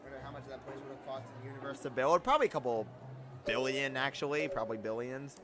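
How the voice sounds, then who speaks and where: A man's voice: mid-range voice, fast, over music. A young man giving casual, rambling, informal commentary as he streams a visual novel read-through. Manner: rambling, informal, bro slang.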